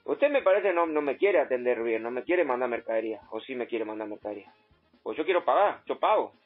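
A man playing an insistent, simple provincial customer with an Argentine accent, complaining in a halting, repetitive way on a prank call. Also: mid-range voice, expressive, lightly acted. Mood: aggrieved. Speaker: prank caller. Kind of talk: improvised phone prank. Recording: muffled phone line, faint music behind.

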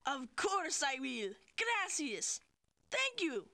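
A woman's voice: high voice, big pitch swings, slow pace, pushed and bright, dry recording, studio quality, heavily acted. A woman voicing a friendly, eager little Spanish-speaking boy in a children's game. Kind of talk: scripted children's game dialogue.

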